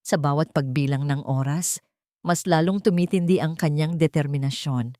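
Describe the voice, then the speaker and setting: Low-pitched woman narrating drama. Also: moderate pace, warm tone, no echo, clean studio sound. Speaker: story narrator. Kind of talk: scripted dramatic romance story narration.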